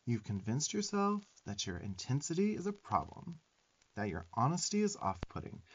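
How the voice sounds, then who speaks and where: Sassy young demon twink, acted by a man, swooping pitch. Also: lo-fi sound, a little hiss or hum. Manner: sassy, emphatic, profane tough-love delivery. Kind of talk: ASMR affirmation roleplay.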